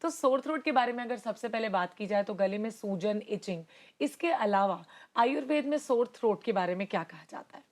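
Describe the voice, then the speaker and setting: A woman's voice: mid-range voice, lively intonation. A woman health show host, asking questions and prompting the guest with short backchannels. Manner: brisk prompting with frequent "hmm" backchannels. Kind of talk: interview hosting.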